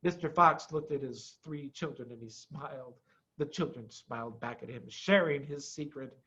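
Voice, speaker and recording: A middle-aged man reading a children's storybook aloud in an expressive, emphatic way. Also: mid-range voice, moderate pace, muffled recording.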